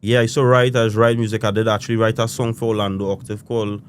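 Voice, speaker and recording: A man's voice: on the low side, little pitch movement, quick pace, a little hiss or hum. A young Trinidadian man with a Trinidadian accent, a musician being interviewed, talking casually and rambling with loose island phrasing and lots of "you know". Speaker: guest musician.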